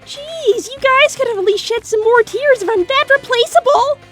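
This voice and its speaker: A woman voicing a kind, self-sacrificing young hedgehog princess in a cartoon comic dub, earnest and expressive. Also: very high voice, normal speed, faint music behind. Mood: playful.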